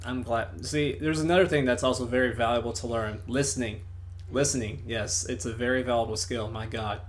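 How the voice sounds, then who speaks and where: A man interviewing in a casual online chat, with a voice at a middle pitch. He is long-winded and lively, and he throws in swear words freely. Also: brisk. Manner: wordy, animated, swears freely.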